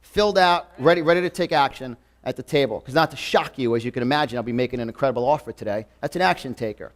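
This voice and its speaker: A man's voice: medium pitch, quick pace. A man in his forties with a New York accent, giving an emphatic, repetitive motivational talk to a live audience. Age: 40s. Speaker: motivational speaker. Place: event room before a live audience.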